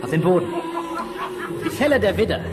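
A man's voice: sits high, big pitch swings, brisk. A man playing a welcoming painter at a feast in a radio drama, speaking in an expansive, inviting way. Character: welcoming painter, host to his girlfriend. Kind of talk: German radio drama acting. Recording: music and crowd behind voice.

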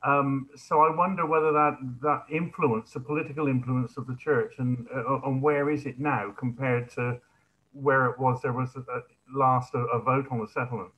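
A middle-aged man putting a spontaneous question at an online seminar, in halting phrases with repeated words. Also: medium pitch, steady tone, pushed and bright, muffled recording. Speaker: audience member asking a question. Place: living room, indoors. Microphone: video call, computer microphone.

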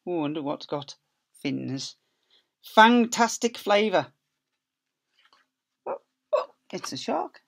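Middle-aged man, teacher, storybook reading for small kids, sing-song lively pitch, playful sound effects, laptop webcam, small office. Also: slow, vocal fry, pauses often. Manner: playful, stretches key words and makes sound effects. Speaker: school teacher. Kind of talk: reading a picture book aloud to young children. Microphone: laptop webcam at close range.

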